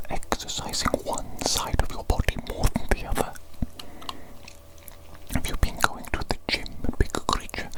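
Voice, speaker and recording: A woman speaks entirely in a breathy whisper, as in ASMR roleplay. She plays a scheming evil genius fussing over the listener, repeating little phrases in a soft, playful way. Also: rough recording. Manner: slow, repeats phrases softly, playfully doting. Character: scheming evil genius examining a creature minion.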